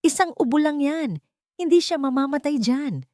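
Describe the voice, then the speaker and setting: Audio drama villain: greedy, indignant older aunt, spiteful and defiant, higher pitch, played by a female narrator. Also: expressive, normal speed, dark tone, no room sound, studio quality. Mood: remorseless defiance. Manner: indignant, self-righteous.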